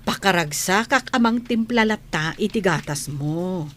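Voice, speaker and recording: A woman's voice: lowish voice, animated, a little slow, fairly bright tone. A woman with an Ilocano accent playing a doting, patient older nanny in a radio drama, tender and motherly.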